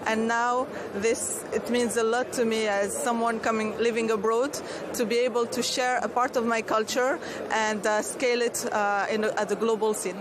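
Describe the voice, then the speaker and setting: A woman's voice: on the high side, fairly even intonation, moderate pace. A woman in her thirties from Tunisia, a startup founder answering interview questions earnestly, with many restarts and fillers. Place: outdoor expo stand. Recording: clear street noise behind.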